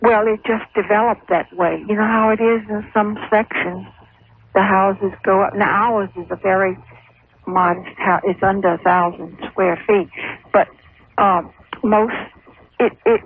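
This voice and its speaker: An older woman from Louisiana calling a radio show, speaking in a halting, measured way. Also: medium pitch, steady tone, husky. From Louisiana, USA. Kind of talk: phone-in conversation. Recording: muffled phone line on radio.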